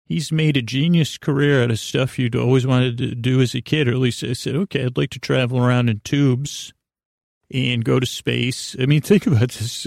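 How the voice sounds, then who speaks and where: A man hosting a sleep podcast in a creaky, soothing voice, meandering and halting through a lulling bedtime monologue full of digressions. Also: mid-range voice, darkish tone, no echo, clean studio sound. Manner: meandering, halting, over-talking with many digressions. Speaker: podcast host.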